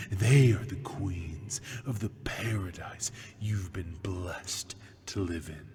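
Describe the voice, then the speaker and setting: A man voicing a smug, cruel imprisoned male demon in an ASMR roleplay, talking in a mocking, breathy half-whisper. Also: low voice, warm tone, big-room sound, exaggerated voice. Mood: menacing. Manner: mocking, slow and seductive.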